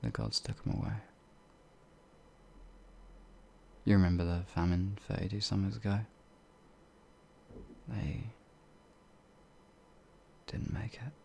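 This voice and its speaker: A man voicing a shy, gentle werewolf in an ASMR audio roleplay, hesitant and halting, with a breathy, gravelly voice that often drops toward a whisper. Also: sits low, a little hiss or hum. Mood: sad, subdued. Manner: hesitant, timid, halting, with sighs and trailing phrases. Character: shy, gentle, bumbling pacifist male werewolf, older than he looks.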